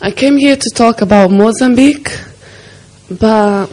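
A woman who grew up in Portugal giving a talk at a conference, with a gentle and slightly nervous manner. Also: on the high side, unhurried, rough recording. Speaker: conference speaker. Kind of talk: speech at a conference.

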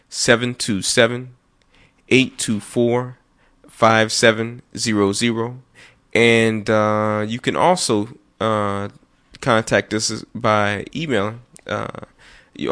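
A man hosting a call-in radio talk show, speaking with frequent pauses and restarts, slowing down to read out phone numbers. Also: on the low side, fairly bright tone. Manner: many fillers and restarts, slow over numbers. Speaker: radio host.

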